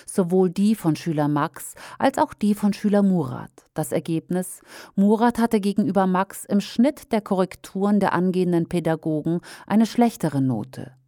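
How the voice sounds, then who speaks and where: A woman reading aloud a critical opinion commentary in a pointed, measured way. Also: lowish voice, a little mellow, dry recording, studio quality.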